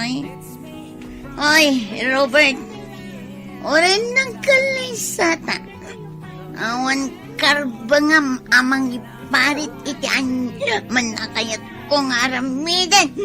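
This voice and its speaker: An actress playing a drunk, defiant young wife in an emotional, melodramatic Ilocano radio drama. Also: female voice, high voice, exaggerated voice. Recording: radio drama music behind.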